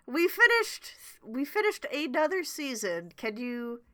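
A woman's voice: high voice, big pitch swings, measured pace, crowd noise. A young woman with a stuffy, congested voice from a cold, co-hosting a podcast in a chatty, self-mocking way with casual swearing. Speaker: podcast co-host.

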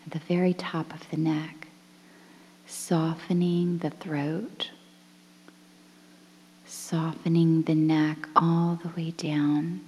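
A woman guiding a relaxation meditation in a soothing, breathy, near-whispered voice with measured phrases and pauses. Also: deep voice. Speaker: guided meditation instructor. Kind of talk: guided relaxation meditation, softly spoken. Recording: long reverberant hall-like echo.

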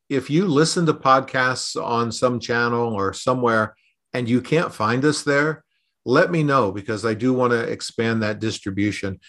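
A man talking conversationally on a podcast as a consultant being interviewed, explaining things in a measured way. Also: medium pitch, fairly bright tone.

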